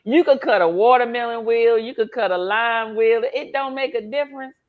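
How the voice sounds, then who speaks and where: A woman's voice: on the high side, moderate pace, narrow, tinny audio. A woman from Brooklyn, a guest explaining things in a blunt, matter-of-fact way. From Brooklyn, New York. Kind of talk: casual explaining.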